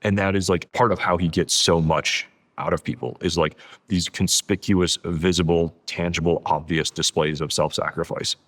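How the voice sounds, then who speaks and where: A man with a low voice talks through ideas as a guest in a casual interview. He leans on "like" as he goes and piles up words for emphasis. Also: steady tone, normal speed, a bit bright. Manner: peppers sentences with "like", emphatic repetition of adjectives. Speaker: podcast guest, author. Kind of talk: casual interview conversation, explaining.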